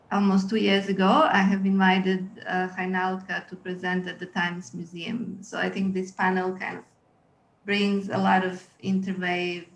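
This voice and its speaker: A woman's voice: mid-range voice, echoey room, poor audio. A woman, giving a hesitant webinar presentation as a curator over a video call. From Yugoslavia. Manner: hesitant, with frequent ums and uhs. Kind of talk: webinar presentation, partly read. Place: room at home.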